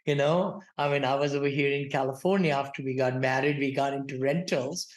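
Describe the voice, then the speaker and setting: A man's voice: mid-range voice, fairly even intonation, fairly quick. Chatty man in an echoey room. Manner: chatty, many "you know" fillers. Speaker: podcast host. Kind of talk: casual interview hosting. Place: home in the Bay Area.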